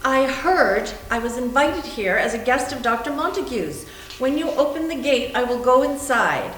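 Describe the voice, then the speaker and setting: A woman's voice: high-pitched, expressive, normal speed, a bit bright. A woman playing an anxious, earnest guest who is indignant yet timid, in a staged readers theater drama with a hesitant, pleading manner. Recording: roomy echoey stage recording, humming noise.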